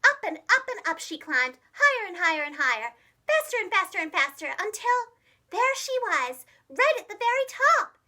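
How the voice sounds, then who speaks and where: Very high, animated woman storyteller. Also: moderate pace. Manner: animated, dramatic, gentle enunciation for young children. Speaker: preschool teacher reading stories aloud. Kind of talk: expressive children's storybook narration.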